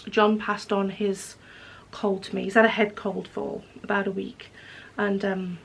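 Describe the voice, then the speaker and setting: A woman chats casually from her living room, her voice hoarse and croaky from a cold, with a gravelly rasp. She rambles through her plans, correcting herself and filling pauses with ums. Her pitch sits in a middle range. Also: level tone. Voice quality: croaky, husky. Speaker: knitting vlogger. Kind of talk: casual vlog chat. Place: home living room with wood stove.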